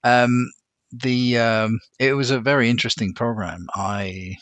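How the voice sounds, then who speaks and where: A man from Lebanon answering interview questions conversationally, pausing often as he thinks things through in a measured, reflective way. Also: medium pitch, fairly even intonation, fairly bright tone, dry recording. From Lebanon, immigrated to Montreal as a teenager. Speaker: interview guest, corporate aviation operations manager.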